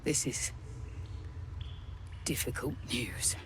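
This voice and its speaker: Grave, slow-spoken female hunter. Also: a bass voice, expressive, exaggerated voice. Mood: shaken, solemn. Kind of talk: dramatic video game acting. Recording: clearly noisy game ambience behind.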